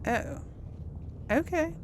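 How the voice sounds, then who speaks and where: A woman's voice: sits high, lively intonation, extremely slow, background noise. A woman hosting a casual role-play let's play, reacting and thinking aloud in a hesitant way. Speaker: gaming channel host. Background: game music and sounds.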